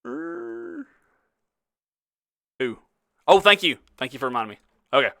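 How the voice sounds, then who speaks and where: Bright-voiced animated male game master. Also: high-pitched, a little slow, gravelly, no room sound, clean studio sound. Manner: swears casually, laughs often. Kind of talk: running a tabletop game.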